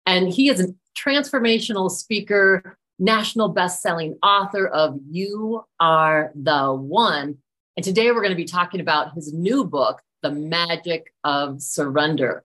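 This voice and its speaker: A woman's voice: fairly low pitch, a little slow, a bit bright. Enthusiastic woman hosting an interview. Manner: enthusiastic, effusive. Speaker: podcast host.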